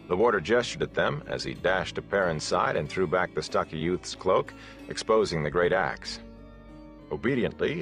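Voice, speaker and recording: A deep-voiced man narrates a fantasy audiobook in a measured, dramatic way. His low register carries the scripted storytelling, with music playing behind him. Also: a bit bright.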